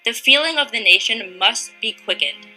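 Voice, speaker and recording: Very bright-voiced woman reciting solemn oratory. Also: a little high, expressive, normal speed, soft background music, rough recording. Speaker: reader of a historic speech.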